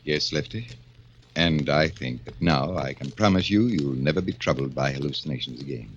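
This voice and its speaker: An actor playing a calm, clever middle-aged psychiatrist in a 1940s radio drama, measured and soothing. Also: male voice, very deep voice. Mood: knowing. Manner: measured and soothing, slightly formal. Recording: dull old radio broadcast with faint hiss.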